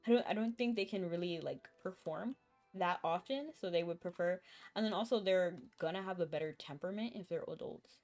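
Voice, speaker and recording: A woman streaming a video game, chatting casually and thinking out loud as she plays, with a chuckle here and there. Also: fairly low pitch, fairly quick. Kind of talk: casual live-stream chat while gaming. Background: faint game music.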